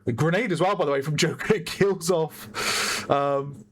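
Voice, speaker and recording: A man with a hoarse voice giving chatty play-by-play commentary on a competitive online shooter match, often correcting himself. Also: on the high side, normal speed, fairly bright tone. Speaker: esports caster. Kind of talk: live game commentary.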